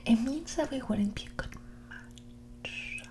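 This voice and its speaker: A woman playing a mean, condescending rich young woman on a first date in a whispered, soft-spoken ASMR roleplay, with a bored drawl. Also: high voice, lively intonation, slow pace. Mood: dismissive. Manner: condescending, drawling, bored, valley-girl filler "like".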